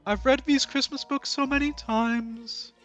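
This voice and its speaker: A man playing an excitable skeleton showman from a musical, high-voiced, grandiose and dramatic, moving between song and speech. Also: a little slow, lo-fi sound, faint music behind. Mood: puzzled, searching. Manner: grandiose, sweeping, theatrical delivery. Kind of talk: theatrical musical performance, half sung and half spoken.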